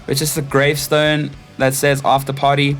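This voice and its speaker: A South African man in his twenties with a mid-pitched voice shares his stories in an interview. He speaks informally, in a relaxed conversational style, as a stage crew technician would among friends. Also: normal speed, faint music behind. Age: 20s. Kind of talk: casual chat, personal anecdotes.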